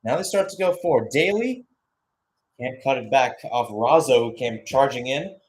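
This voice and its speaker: A man's voice: mid-range voice, a bit bright, slight background noise, poor audio. A man doing live radio-style soccer commentary as the play-by-play announcer, narrating in short clipped phrases.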